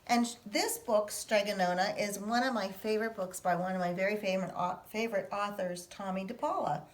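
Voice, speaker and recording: A woman reading a children's picture book aloud in a put-on Italian accent, telling it in a lively, expressive way. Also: medium pitch, brisk.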